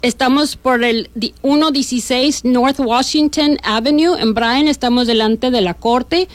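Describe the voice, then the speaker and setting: A chatty middle-aged woman with a northern Mexican accent, talking with plenty of fillers and self-corrections. Her voice sits a bit high and sounds dry and close to the mic, with no room around it. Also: a little slow, no room sound. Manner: chatty, frequent fillers and restarts. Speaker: bail bond agent guest. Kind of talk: casual radio interview.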